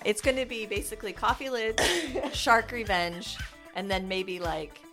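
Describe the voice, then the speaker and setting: A woman's voice: mid-range voice, unhurried, over music. A woman co-hosting a pop-culture podcast, making playful, laughing asides in a promo.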